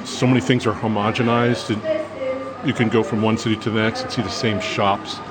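A man from Brooklyn, a tourist being interviewed in a restaurant, speaking quickly and thoughtfully. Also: lowish voice, fairly even intonation. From Brooklyn, New York. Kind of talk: casual interview. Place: busy restaurant. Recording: crowd chatter behind.

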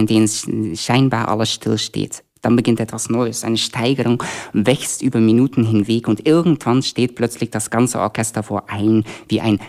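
A man's voice: mid-range voice, a little mellow. A man from Belgium who works as a concert dramaturg, introducing orchestral works with vivid, explanatory storytelling. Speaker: concert dramaturg and music educator.

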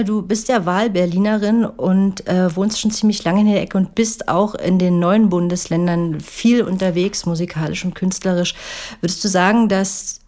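A woman's voice: mid-range voice, warm tone. A woman interviewer and songwriter asking curious, engaged questions in a casual conversation. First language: German.

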